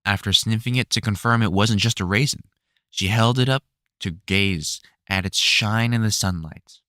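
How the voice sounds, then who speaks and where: A man reading a whimsical storybook as narrator, in an expressive, measured storytelling manner. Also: on the low side, no room sound, clean studio sound.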